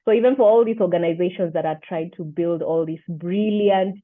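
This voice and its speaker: A woman's voice: on the low side, brisk. Thoughtful woman host on a tinny line. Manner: thoughtful, hesitant, repeats words when starting. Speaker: podcast host. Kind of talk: conversational interview.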